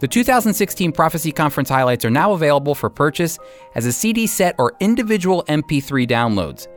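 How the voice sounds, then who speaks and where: Expressive male radio Bible teacher, emphatic delivery. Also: a little high, faint music behind. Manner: emphatic, deliberate, with weighty pauses. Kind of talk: radio sermon and commentary.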